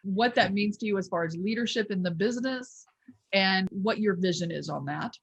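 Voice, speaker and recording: A woman's voice: mid-range voice, normal speed, gravelly, slightly rough, dry recording, dull audio. A woman hosting a podcast interview, affirming her guests with brief interjections. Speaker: podcast host.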